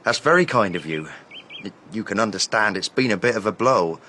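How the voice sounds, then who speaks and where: A man's voice: fairly low pitch, animated, brisk, bright, forceful tone, slight background noise. A man playing a young, respectful gardener with rustic, deferential phrasing in a British radio drama. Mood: grateful, regretful. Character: eager, respectful young male country gardener.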